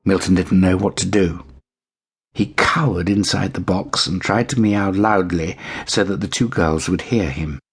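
A man narrating a children's bedtime story in an expressive storytelling style. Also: low-pitched, unhurried, slightly rough. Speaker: audiobook narrator.

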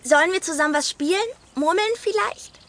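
A woman's voice: very high voice, a little fast, a bit bright. A woman playing a timid, friendly little boy badger in a children's audio drama, childlike, hesitant and eager.

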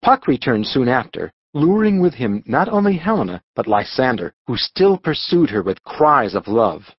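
Male storybook narrator: dramatic, expressive, slightly husky, highly varied intonation. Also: normal speed, no room sound, muffled recording. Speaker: audiobook narrator.

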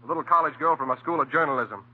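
Fast-talking, scheming newspaper editor. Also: male voice, a little high, breathy edge. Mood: indignant. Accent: American. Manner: glib, theatrical, cajoling. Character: brash scheming newspaper editor, wheedling, sarcastic ex-husband. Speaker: actor. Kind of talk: old-time radio comedy acting, rapid banter. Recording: muffled old radio broadcast.

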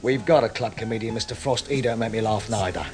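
An actor playing a shady, self-important nightclub boss in a radio crime drama, curt and menacing. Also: male voice, on the low side, quick pace, faint music behind, natural voice. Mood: surly. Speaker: nightclub owner character.

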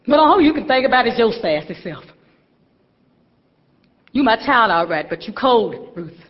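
A high, swooping Southern woman's voice as a stern, pious mother. She scolds with folksy emphasis in a stage drama, ringing in a reverberant hall. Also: sing-song, unhurried, muffled recording. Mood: angry, wounded. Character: stern, churchgoing, scolding mother of a grown daughter.

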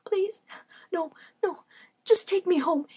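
A woman's voice: high voice, lively intonation, brisk, pitched above the performer's own voice. A woman voicing a battered young woman who is crying and pleading frantically.

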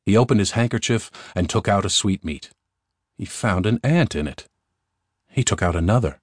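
A man's voice: on the low side, dry recording, studio quality. A man narrating a fairy tale audiobook in a scripted storytelling style. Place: recording studio.

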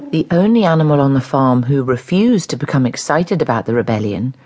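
A woman's voice: a bass voice, lively intonation, a little fast, a little mellow. A woman reading scripted narration for a dramatised story.

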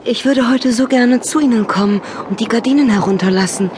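A woman's voice: a little high, brisk, natural voice. A woman playing a devoted, naively impulsive citizen of a regimented future in a radio drama. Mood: yearning. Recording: loud ambient noise behind.

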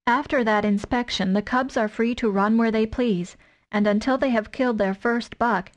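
A woman voicing a grave, measured male wolf father in a storybook reading. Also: medium pitch, highs cut off, natural voice.

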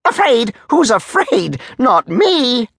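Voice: medium pitch, sing-song, takes it slowly, vocal fry, fairly bright tone, slightly rough, no room sound, studio quality, pitched above the performer's own voice, exaggerated voice. A performer voicing a blustering, easily enraged military major who barks and roars in a storybook tale. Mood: defensive bluster. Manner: barking, roaring, threatening.

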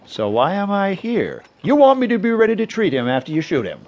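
Wry, lively frontier doctor. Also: male voice, sits high, dull audio. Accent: American. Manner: sardonic, drawn-out protests. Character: wry, reluctant frontier town doctor and sharpshooter. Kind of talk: radio western acting.

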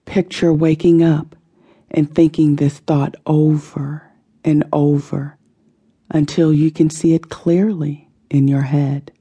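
A woman narrating a guided meditation in a soothing, deliberate manner, letting her phrases end softly. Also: sits low, flat delivery, husky. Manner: slow, soothing, deliberate delivery with soft phrase endings. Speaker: audiobook narrator.

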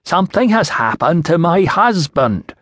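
Audiobook role: proud, indignant ancient tribeswoman, played by a male narrator, raised pitch, slow and emphatic, blunt. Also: very animated, fairly bright tone, no echo, studio quality. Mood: insistent, indignant.

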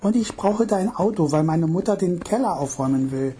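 Guy doing a young wife's voice, husky and mellow, pushy but hesitant, audiobook. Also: high-pitched, animated, a little fast, pitched above the performer's own voice. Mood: coaxing. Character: fretful young wife, insistent, wheedling. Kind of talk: audiobook narration.

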